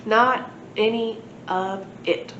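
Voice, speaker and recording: A woman's voice: fairly high pitch, steady tone, slow pace, a bit bright. A woman voicing a sceptical, demanding young child in a children's storybook. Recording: echoey room, muffled, noisy.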